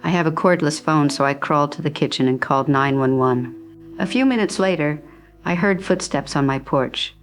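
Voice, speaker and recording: A woman playing a frightened resident in a radio drama, giving an eyewitness account in short, halting phrases. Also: deep voice, faint music behind, natural voice. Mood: shaken, fearful. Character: frightened female resident hiding at home.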